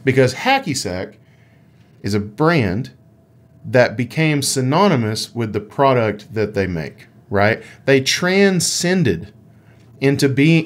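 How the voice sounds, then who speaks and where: A man co-hosting a gaming podcast, chatting casually in a playful, rambling, explanatory way. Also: medium pitch. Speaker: podcast co-host.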